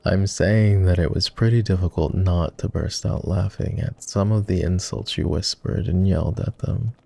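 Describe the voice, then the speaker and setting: A man playing a flirty, courtly vampire aristocrat in an intimate roleplay ASMR, with refined, formal, teasing speech in a low, gravelly murmur. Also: steady tone, normal speed, warm tone, faint music behind. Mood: amused, casual. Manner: refined, formal diction with playful teasing and sighs.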